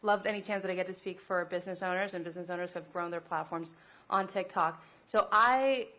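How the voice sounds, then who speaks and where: A woman from Mexico speaks in a fairly low voice. She gives personal testimony as a small business founder, with an informal, enthusiastic way of storytelling. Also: brisk, bright, forceful tone. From Monterrey, Mexico.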